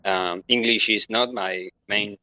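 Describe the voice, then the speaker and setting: A man's voice: on the low side, steady tone, takes it slowly, bright, forceful tone, dull audio. A man from Argentina with an Argentine Spanish accent, a non-native English speaker politely asking a question at an online town hall in a friendly, courteous way. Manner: friendly and courteous, with light laughs and restarts. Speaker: audience member asking a question.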